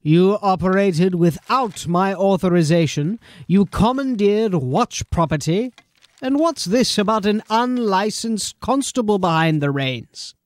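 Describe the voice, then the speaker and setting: An actor playing a stern, exasperated police captain in a fantasy audio drama, sardonic, clipped and authoritative. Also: male voice, sits high, expressive, unhurried, no room sound. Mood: exasperated scolding.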